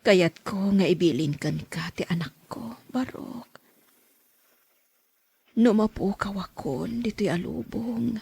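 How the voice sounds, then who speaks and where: A woman acting a frail, sick mother in a radio drama, with a weak rasping whisper and an Ilocano accent. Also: medium pitch, slow pace, a little mellow, full character voice. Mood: weak, pleading. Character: frail ailing mother pleading for her daughter.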